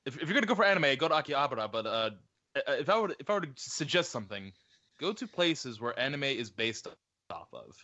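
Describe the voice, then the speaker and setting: Male podcast guest, teasing interjections, sharp bright tone on dull lo-fi call recording. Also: mid-range voice, big pitch swings, fast. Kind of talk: casual group podcast banter.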